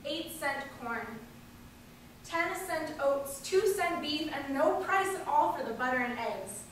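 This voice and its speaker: An impassioned populist woman orator, read out by a woman, denounces the powerful in a high voice. The delivery is rhetorical and emphatic, heard from a distance in a very echoey hall with faint noise. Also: lively intonation, takes it slowly. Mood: accusing, emphatic. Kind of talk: declaimed political speech read aloud.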